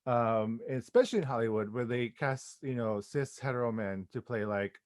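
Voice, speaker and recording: A man's voice: mid-range voice, moderate pace, a little mellow, dry recording, clean studio sound. A man chatting casually and effusively in an interview as a playwright and director, with informal slangy phrasing.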